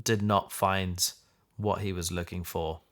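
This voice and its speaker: A man's voice: sits low, level tone, a little slow. A man in a casual discussion, musing reflectively and posing questions as he thinks. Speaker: audio drama podcaster.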